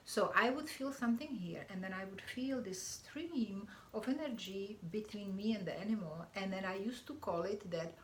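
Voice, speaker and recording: A woman from the Czech Republic answers interview questions earnestly, often pausing and starting her phrases over. Her voice is at a middle pitch. It echoes in a roomy space over a faint hiss. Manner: earnest, halting, restarts phrases. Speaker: guest, animal communicator. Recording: roomy echo with faint noise.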